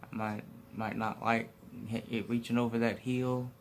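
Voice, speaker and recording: A young man chats in a low-key, teasing way. His delivery stays flat, with little rise and fall. He is recorded by a phone at arm's length in a hospital room, with faint hiss in the background. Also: moderate pace. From United States. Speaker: co-host, partner. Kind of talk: casual couple vlog chat. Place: hospital room, lying in a bed. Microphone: phone camera at arm's length.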